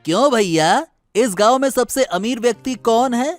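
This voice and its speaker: Cartoon merchant, played by a man: young, amiable, seemingly simple, high voice with swooping intonation, polite. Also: animated, moderate pace, faint music behind. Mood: friendly. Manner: polite, chuckling, explanatory. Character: friendly, naive-seeming young diamond merchant, former farmer. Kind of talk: Hindi cartoon moral-story acting.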